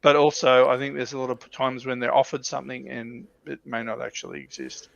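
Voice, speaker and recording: A man's voice: medium pitch, a little fast, gravelly, a bit bright. A man chatting casually as an enthusiast on a livestream, often restarting his sentences as he goes. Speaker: livestream panellist. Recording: slightly dull, dry lo-fi audio.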